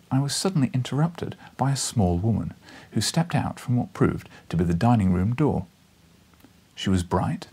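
Husky, gravelly male audiobook narrator. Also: medium pitch, a little mellow. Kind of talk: expressive literary reading. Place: small book-lined living room, indoors.

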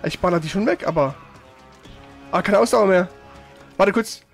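A man's voice: high voice, normal speed, gravelly. A man gives casual live gaming commentary. He talks in loose slang with plenty of swearing, over faint game music. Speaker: gaming streamer playing and chatting.

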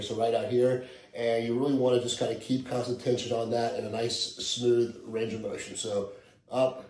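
Mellow-voiced man coaching in an echoey room. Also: medium pitch, a little hiss or hum. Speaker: fitness coach. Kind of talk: casual workout demonstration. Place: living room at home, indoors. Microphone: camera a few meters away.

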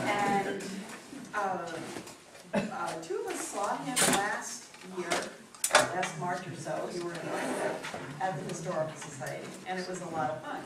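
An older woman with a slightly low voice speaks haltingly as she hosts a gathering. She is far from the microphone in a very echoey room, and her announcements are informal. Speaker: event host introducing a speaker. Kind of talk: informal announcements to a live audience. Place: indoor meeting room. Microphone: distant camera microphone. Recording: echoey, noisy room recorded from afar.

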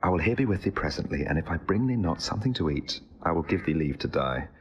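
A deep-voiced earnest young noble. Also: male voice, expressive, quick pace, lo-fi sound, slight background noise. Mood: encouraging. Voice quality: tender, encouraging. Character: earnest young nobleman caring for his servant. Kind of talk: classical verse drama.

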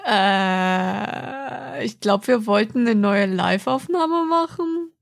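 Slow, creaky-voiced woman, fairly high. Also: animated, no echo, studio quality. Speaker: podcast co-host. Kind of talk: casual chat.

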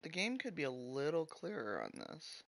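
A man streaming a video game, chatting casually and slowly, sometimes mildly frustrated with the game. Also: fairly high pitch, huge pitch swings, gravelly, fairly bright tone, no echo. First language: English. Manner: slow, musing, mildly frustrated. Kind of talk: casual gameplay commentary.

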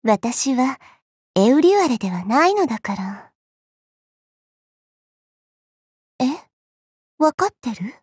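A woman voicing a petite, haughty, teasing goddess for a game, with elegant, coy, ladylike speech and a soft, breathy tone. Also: on the high side, sing-song, unhurried, dry recording, studio quality, heavily acted. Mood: mildly scolding, fond. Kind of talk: Japanese mobile game character voice lines.

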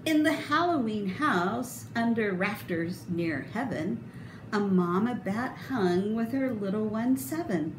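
A middle-aged woman reads a picture book aloud for children in a theatrical, sing-song way. Her delivery is slow and deliberate, with big swings in pitch. The sound is echoey and indoors, with clear noise behind her. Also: expressive. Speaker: storybook reader. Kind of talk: expressive children's read-aloud. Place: indoor room. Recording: roomy echo, clear background noise.

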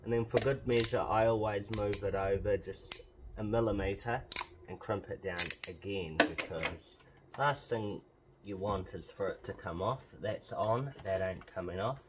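A man's voice: fairly low pitch, unhurried, background noise. A man giving offhand, casual DIY commentary, talking through each step as he works on a car. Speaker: host of a car modification vlog. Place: outdoors beside a car's open bonnet.

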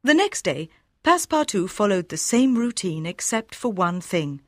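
A woman reading scripted story narration for learners in a measured, clearly enunciated way. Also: mid-range voice, big pitch swings, dry recording, studio quality. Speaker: audiobook narrator.

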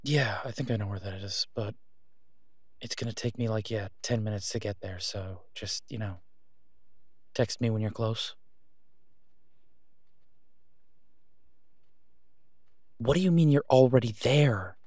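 A man playing an exasperated, protective vigilante in a phone-call audio roleplay, speaking urgently and emphatically, with pleading pauses. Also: fairly low pitch, a little mellow, dry recording, clean studio sound, lightly acted. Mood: reluctant, exasperated. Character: exasperated, protective masked male vigilante on patrol.

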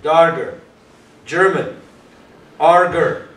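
Officious young policeman, acted by a man, high-pitched and echoey. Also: extremely slow, a bit bright. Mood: stern. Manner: commanding, insistent questioning. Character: earnest, officious young male police detective, easily exasperated. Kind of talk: staged play reading. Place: small performance room with audience, far camera. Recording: distant, echoey room recording with noise.

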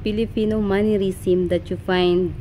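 A woman conducts a casual interview in a mellow, relaxed voice at a middle pitch. She asks short questions and fills in with quiet murmurs of agreement. A faint ambience lies behind her. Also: moderate pace, slight background noise, rough recording. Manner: short prompts and murmured backchannels.

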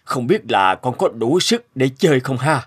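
A man voicing an upbeat, encouraging cartoon father, hearty and expressive, with music behind him. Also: mid-range voice, normal speed, breathy edge. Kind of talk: cartoon dubbing.

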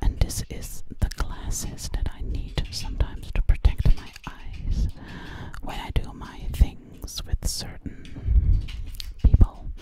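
A sassy, vain witch, acted by a woman in an ASMR roleplay, whispering breathily right up close. She takes her time, very slowly repeating words with a sinister edge. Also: close to an everyday voice, poor audio. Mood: sly, musing. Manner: slow, lingering, repeats words over and over. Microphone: close microphone.

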